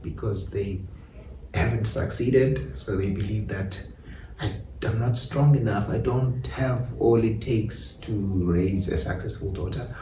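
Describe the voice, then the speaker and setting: Low, mellow South African man. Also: far from the mic. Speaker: playwright and director being interviewed. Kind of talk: reflective interview talk. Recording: echoey room, off-mic, faint noise.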